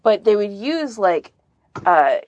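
A woman's voice: mid-range voice, big pitch swings, slow, no room sound, dull audio. A woman podcast co-host chatting casually while she gives recommendations. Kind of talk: casual chat, giving recommendations.

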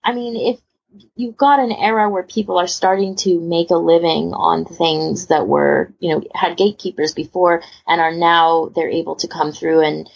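A woman's voice: on the low side, normal speed, vocal fry, slightly rough. A woman hosting a casual panel chat in an informal, rambling, self-deprecating way, on a muffled recording. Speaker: podcast host.

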